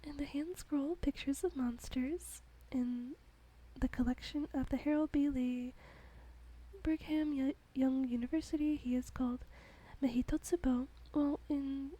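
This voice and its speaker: A woman reads aloud in a slow, hushed whisper, the way ASMR videos are done. Her tone is soft and mellow, and she drops in little giggles now and then. Her pitch sits fairly high even while she whispers. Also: rough recording. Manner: slow, gentle reading. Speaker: ASMR host.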